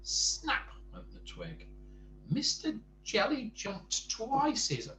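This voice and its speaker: An older man reading a bedtime story to grandchildren, expressive, with chatty asides and questions to the young listener. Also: sits high, slow, noisy background.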